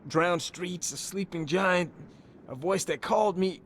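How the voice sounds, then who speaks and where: An actor playing a dazed, anxious working-class fisherman in a video game, speaking in halting, groggy, clipped phrases. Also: high voice, slight background noise. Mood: haunted. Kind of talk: dramatic video game voice acting.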